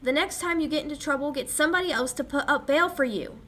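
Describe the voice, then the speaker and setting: A young woman acting an anxious, sharp-tongued fiancée in a 1940s-style radio drama. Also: sits high, fast talker. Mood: worried, scolding. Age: young adult. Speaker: high school actor. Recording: background noise.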